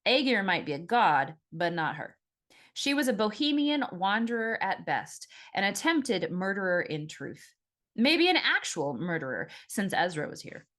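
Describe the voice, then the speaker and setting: A woman reading her own fiction audiobook as an expressive first-person narrator. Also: medium pitch, measured pace.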